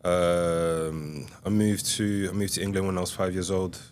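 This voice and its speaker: Deep-voiced man telling his life story. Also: little pitch movement, moderate pace, slight background noise. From Republic of Congo. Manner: soft-spoken, hesitant, many ums. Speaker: podcast guest. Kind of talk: reflective interview storytelling.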